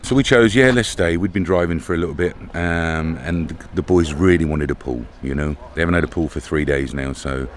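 Middle aged british guy, low voice, chatty travel vlog, bit of outdoor noise behind him. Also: brisk, hardly any pauses. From Britain. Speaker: travel vlogger. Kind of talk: casual vlog chat to camera. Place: outdoors at a campsite. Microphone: camera at arm's length. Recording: outdoor camera audio with background noise.

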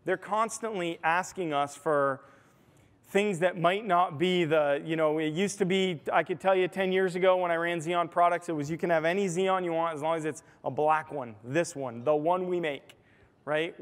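An American man giving a conversational conference keynote, explaining points and often checking in with "right?", recorded on a lapel mic in a large ballroom. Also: fairly high pitch. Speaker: technology executive giving a keynote. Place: large hotel ballroom stage, indoors. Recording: reverberant hall, amplified stage sound.

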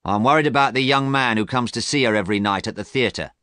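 A man's voice: mid-range voice, fast, bright, forceful tone, no room sound, clean studio sound, voice pushed up, lightly acted. A man voicing a big, brooding sixteen-year-old brother in an audiobook, blunt, insistent and protective. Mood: worried, insistent.

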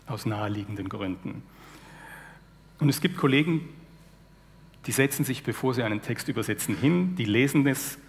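A man's voice: mid-range voice, gravelly. A man in his forties, a literary translator, explains his ideas on a panel in a thoughtful, lecture-like way with dry irony, speaking into a headset mic on a stage before an audience. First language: German. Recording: long hall reverb.

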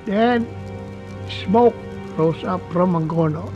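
An elderly man with a Filipino accent, telling his wartime memories in an interview in a bedroom, with animated gestures. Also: high voice, slow, muffled recording, music in the background. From Philippines. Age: older. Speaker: war veteran interviewee. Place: bedroom indoors.

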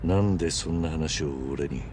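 An actor playing a stoic, tough middle-aged former yakuza in a video game, speaking gruffly and tersely without getting rattled. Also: male voice, a bass voice, moderate pace, a little mellow, slight background noise. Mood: grave, low. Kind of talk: video game cutscene acting.

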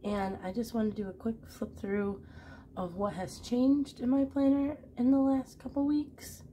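A woman's voice: on the high side, level tone, a little slow, dark tone, slight background noise, rough recording. A young woman recovering from a cold, chatting casually through a planner flip with lots of ums and restarts, recorded at home. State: getting over a cold. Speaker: planner vlogger. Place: home, at a desk.

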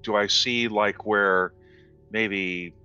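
A man playing a tabletop game online, asking things in a halting, casual way. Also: lowish voice, fairly even intonation, bright, forceful tone, clean tone, muffled recording. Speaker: tabletop role-playing player. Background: music.

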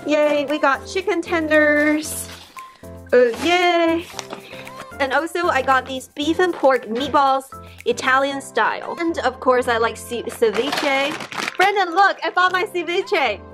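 A woman in her thirties chats brightly to a handheld camera at home, her voice high and upbeat with plenty of fillers. Background music plays under her talk throughout. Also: takes it slowly. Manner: chatty, upbeat, filler-heavy. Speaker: vlogger. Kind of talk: casual vlog chat. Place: home kitchen and outdoors on a bike ride. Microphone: handheld camera at arm's length.

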